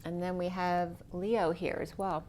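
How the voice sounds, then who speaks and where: A middle-aged woman with a lowish voice reads tarot cards for her viewers. She talks in a slow, dreamy, free-associating way. Her voice sounds a little worn, as if she is starting to lose it. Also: flat delivery, a little mellow, a little hiss or hum. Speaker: tarot reader. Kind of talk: spiritual tarot reading monologue.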